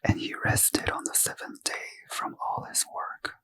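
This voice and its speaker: Man in a bright, slow whisper. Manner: gentle unvoiced whisper, unhurried. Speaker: ASMR reader. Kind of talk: whispered ASMR bedtime scripture reading.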